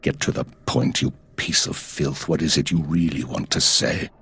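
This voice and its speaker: An actor playing a stern intelligence chief in a video game, with a husky voice and a clipped, authoritative, measured delivery. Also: male voice, rumbling low, steady tone, sharp tone, full character voice. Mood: tense, impatient. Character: stern male spymaster, commanding and coolly measured.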